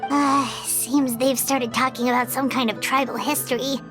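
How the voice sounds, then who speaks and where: A woman voicing a tiny, perky, curious childlike girl companion in a video game, with a squeaky voice. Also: fairly even intonation, normal speed, close to an everyday voice. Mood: puzzled. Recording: loud music under the voice.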